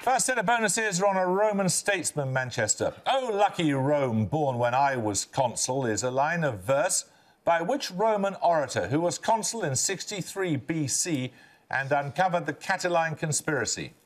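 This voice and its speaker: Older male quiz host, brisk and commanding, voice rising and falling widely, slightly high. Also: lively intonation, a faint crowd. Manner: crisp, clipped, authoritative delivery. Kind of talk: quiz show hosting. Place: television studio.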